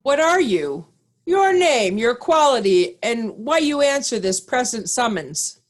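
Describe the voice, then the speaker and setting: A woman playing a stern, righteous duke in Shakespearean reader's theatre, with a measured, formal and commanding delivery. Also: on the high side, sing-song. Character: stern, righteous Duke of Albany, nobleman commanding victorious army.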